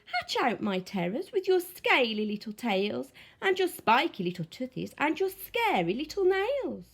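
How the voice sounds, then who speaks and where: A woman's voice: high-pitched, big pitch swings, a little slow, creaky, husky, exaggerated voice. A woman voicing a fierce, dim-witted mother tyrannosaurus who grumbles and scolds. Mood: crooning. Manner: grumbling and disapproving.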